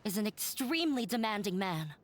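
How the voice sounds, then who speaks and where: A woman's voice: medium pitch, big pitch swings, a little fast. A woman playing a self-blaming young runaway student in a video game, hesitant, earnest and resigned. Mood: bitter, sad.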